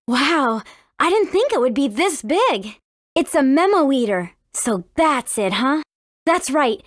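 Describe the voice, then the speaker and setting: A woman voicing a brave, friendly teenage warrior princess in a video game, with an earnest, clearly spoken manner. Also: sits high, no echo, clean studio sound. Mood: earnest, caring. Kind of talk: scripted video game character dialogue.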